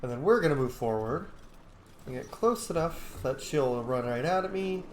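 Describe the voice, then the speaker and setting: A man's voice: medium pitch, lively intonation, moderate pace. Man's casual game commentary, echoey and noisy. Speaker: gaming video host, player.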